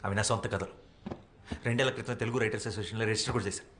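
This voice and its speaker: A man's voice: fairly low pitch, fairly even intonation, racing, a bit bright, a little hiss or hum, natural voice. An actor playing a defiant young male film director who insists he is innocent. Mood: indignant. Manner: indignant, insistent denials. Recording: film soundtrack with faint music.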